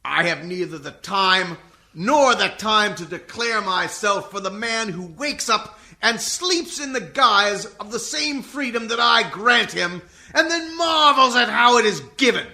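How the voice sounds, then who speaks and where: A man's voice: sits high, expressive, unhurried, gravelly, full character voice. A man playing a righteous, contemptuous military colonel in an amateur radio comedy, haranguing with heavy emphasis. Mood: righteous, haughty. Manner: haranguing, emphatic, swings into shouting. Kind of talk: amateur radio comedy parody of courtroom film drama.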